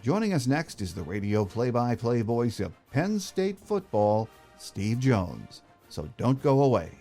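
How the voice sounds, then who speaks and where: A man's voice: mid-range voice, very animated, measured pace, warm tone, faint music behind. A man hosting a sports podcast, giving his commentary and interviewing a guest. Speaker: podcast host.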